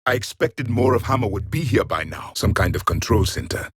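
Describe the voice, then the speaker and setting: A man's voice: medium pitch, sing-song, fairly quick, slightly rough, dry recording, studio quality. A man playing a proud, stern alien warrior from an ancient race in a video game, speaking formally with haughty disdain. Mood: cold, disdainful. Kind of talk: video game character voice lines.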